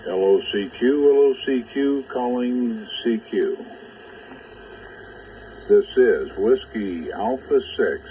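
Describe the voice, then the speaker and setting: A lowish-voiced American man talks chattily as a ham radio operator. His voice comes through a narrow, phone-like radio channel with a little static behind it. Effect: heard over ham radio. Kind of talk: chatty on-air radio contact with phonetic spelling. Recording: muffled narrow radio audio, faint static. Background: faint radio noise.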